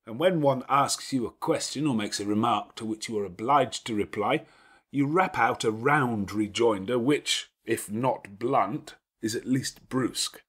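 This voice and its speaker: Man acting an imperious, sardonic gentleman, louder and lively. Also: medium pitch, a little slow, husky, voice pushed up. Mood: probing, teasing. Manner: commanding, sardonic, elaborately phrased. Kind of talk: dramatic audiobook character dialogue.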